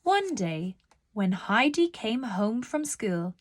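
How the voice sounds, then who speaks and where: A woman narrating a children's storybook aloud in a deliberate, expressive storytelling manner. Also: on the high side.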